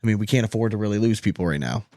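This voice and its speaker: A man's voice: fairly low pitch, very fast, darkish tone. A man hosting a sports podcast in casual conversation, asking long, rambling questions. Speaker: podcast host.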